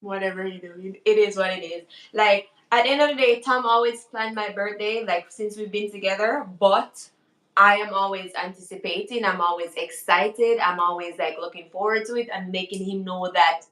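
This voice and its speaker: A young woman chatting casually as a podcast co-host, with an animated, emphatic and playful manner. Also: medium pitch, normal speed. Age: young adult. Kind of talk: casual chat. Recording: echoey room.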